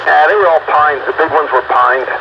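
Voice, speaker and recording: A man with a creaky, somewhat high voice chats casually with other radio operators. The two-way link is muffled, with clear noise over it. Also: fairly quick. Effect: two-way radio transmission. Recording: dull, noisy two-way radio.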